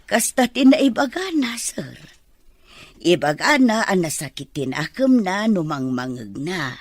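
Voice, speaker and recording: A woman performs an earnest, deferential older woman in a radio drama. She speaks slowly, with a slightly low voice and very lively intonation. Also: no room sound, studio quality. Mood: hesitant, earnest. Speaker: voice actor in an audio drama. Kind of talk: Filipino radio-drama acting in Ilocano.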